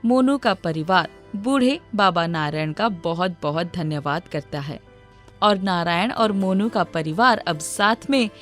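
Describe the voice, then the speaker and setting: A woman's voice: on the low side, lively intonation, unhurried, a bit bright, soft background music. A woman reading a scripted children's moral story as its narrator.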